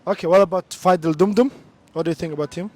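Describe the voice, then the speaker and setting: A young man from Somalia with a Somali accent, hosting a casual street interview vlog outdoors in a city centre, chatty and quick, switching between two languages. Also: sits high, darkish tone.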